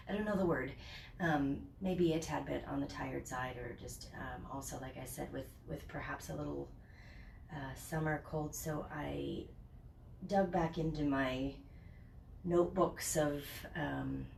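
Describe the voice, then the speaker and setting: A woman with a stuffed-up summer cold teaching a yoga class at home, chatty at first and then giving slow, soothing cues. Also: sits low, gravelly, darkish tone, distant mic, a little hiss or hum. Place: home, near a porch patio set. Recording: echoey room from off the mic.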